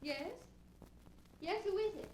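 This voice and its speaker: A woman's voice: extremely high pitch, takes it slowly, a little mellow. An actress playing a timid, flustered young servant girl, deferential and anxious, in 1940s radio style. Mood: frightened, insistent. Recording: noisy vintage 1940s radio recording.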